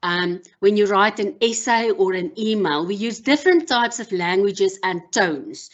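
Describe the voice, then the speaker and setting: A woman whose first language is Afrikaans gives a measured online workshop as a university lecturer, with frequent pauses and ums. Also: mid-range voice, muffled recording. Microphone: video call.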